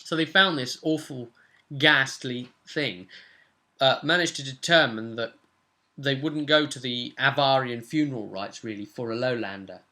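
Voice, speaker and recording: A man's voice: mid-range voice, normal speed, sharp tone. A young man, the host and game master, casually recapping a tabletop role-playing session from a room at home. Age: young adult. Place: small room at home, indoors.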